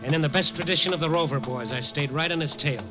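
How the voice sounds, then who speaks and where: An actor playing a hardboiled newspaper reporter who narrates in dry, laconic wisecracks in an old radio drama. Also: male voice, a little high, fast, close to an everyday voice, low-quality recording. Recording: old radio broadcast, music bed.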